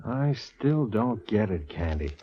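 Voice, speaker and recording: Puzzled American police inspector, husky low voice, slow questioning, vintage radio drama, dull recording. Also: male voice, big pitch swings, dark tone, heavily acted. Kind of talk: 1940s radio acting.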